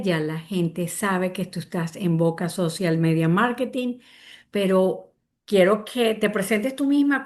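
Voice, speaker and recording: A woman's voice: mid-range voice, a little mellow. Woman podcast host with a mid-range voice and a friendly welcoming manner. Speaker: podcast host, life coach. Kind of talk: interview host.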